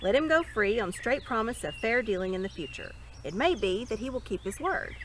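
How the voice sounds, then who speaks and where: A woman voicing a gentle, merciful young queen in a storybook, giving formal counsel. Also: fairly high pitch, very animated, brisk, slight background noise. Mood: earnest.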